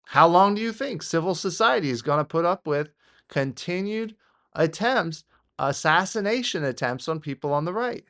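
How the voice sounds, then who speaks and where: An American man giving off-the-cuff political commentary in a halting, repetitive, exasperated way. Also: fairly high pitch, expressive, fairly bright tone.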